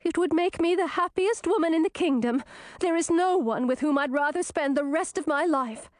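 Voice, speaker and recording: Woman as a very high, dramatic princess. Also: normal speed, dry recording, clean studio sound, natural voice. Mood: happy. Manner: indignant, spluttering, blurting. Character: vain, jealous, dramatic young princess.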